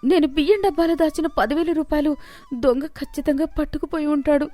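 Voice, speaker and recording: A woman's voice: high-pitched, fairly even intonation, a little fast, mellow, dry recording, voice pushed up, natural voice. A woman voicing an anxious, flustered daughter-in-law in a story, fretful and pleading. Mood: despairing.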